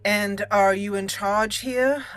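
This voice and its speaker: A woman's voice: mid-range voice, fairly even intonation, takes it slowly, a bit bright. A woman hosting a roleplaying let's play, speaking in character and commenting as she plays. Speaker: gaming channel host, player. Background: game music.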